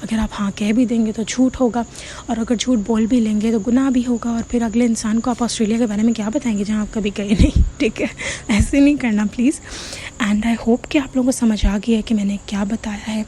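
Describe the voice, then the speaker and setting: A woman's voice: fairly high pitch, steady tone, fairly quick, dark tone, slight background noise. A woman from Pakistan with a Pakistani Urdu accent, giving casual English-learning advice to viewers, often checking in with "theek hai?" and mixing in English words. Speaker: online English teacher.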